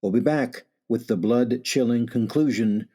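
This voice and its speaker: A man's voice: medium pitch, unhurried, warm tone, slightly rough. A man announcing a suspense radio drama in a scripted, theatrical manner.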